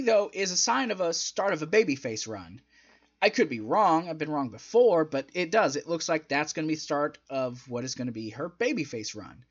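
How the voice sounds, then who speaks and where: A man's voice: high voice, fairly bright tone, breathy edge, dull audio. A young man giving a casual, opinionated review in an emphatic, conversational way, his throat sounding a little strained. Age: young adult. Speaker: wrestling review host. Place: small indoor home room. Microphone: desk microphone close in front.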